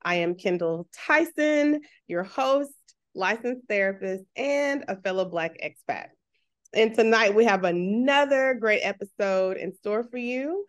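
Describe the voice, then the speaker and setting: A woman's voice: medium pitch, lively intonation, unhurried. A woman with a Texan accent hosting a podcast, chatty, restarting phrases and laughing often. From Texas, USA. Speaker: podcast host, therapist. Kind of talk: casual podcast interview.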